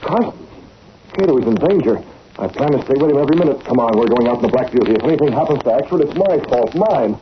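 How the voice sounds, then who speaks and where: An American actor playing a shrewd, resolute masked crime fighter with a clipped, authoritative delivery in an old-time radio drama. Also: male voice, mid-range voice, expressive, fast, natural voice. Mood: alarmed, commanding. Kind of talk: 1930s-1940s American radio drama acting. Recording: muffled, noisy old radio recording.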